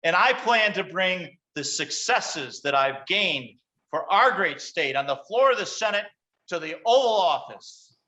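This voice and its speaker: A man plays an evasive senator running for high office, cornered and flustered. His voice is high and bright, and he halts and stammers through his lines in a screenplay table read. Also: animated, a little slow, dull audio, heavily acted. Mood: nervous, pompous. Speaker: actor in a virtual table read.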